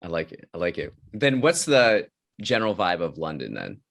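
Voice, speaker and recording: A man's voice: deep voice, huge pitch swings, moderate pace, creaky, a bit bright. A man hosting a podcast, welcoming his guest and asking easygoing questions in a casual chat. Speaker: podcast host.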